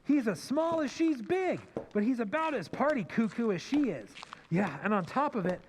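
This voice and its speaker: Man acting cynical young fiancé, old-time radio drama, lively intonation, wisecracking slang, faint noise. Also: very high voice, moderate pace. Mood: sardonic griping. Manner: slangy, sardonic, exaggerating.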